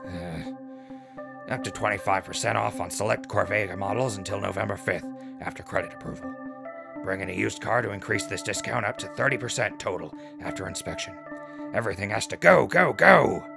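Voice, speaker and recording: A man playing a wry wasteland radio host in an audio drama, dry in manner, with a gravelly voice. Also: fairly low pitch. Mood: salesman patter.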